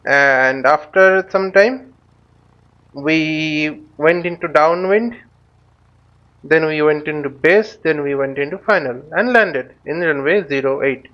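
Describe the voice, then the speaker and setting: A slightly dull, lo-fi recording of a man with a fairly high voice. He gives a casual walkthrough as a tutorial host, thinking aloud in short, halting phrases. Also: a bit bright. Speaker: host of a flight simulator tutorial. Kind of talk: casual spoken walkthrough while flying a simulator. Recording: dull, lo-fi microphone sound.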